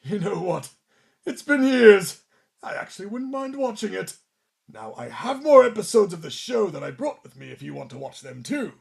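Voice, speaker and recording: Man playing Lord Billington, creaky high-pitched old-time entertainer. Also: big pitch swings, normal speed, heavily acted. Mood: nostalgic, obliging. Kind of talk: radio play acting.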